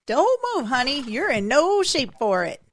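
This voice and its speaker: A woman plays a kindly country woman from an audio drama. Her voice is fairly high and moves up and down freely, with a folksy, soothing, motherly way of talking. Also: big pitch swings, measured pace, a little hiss or hum. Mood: gentle concern.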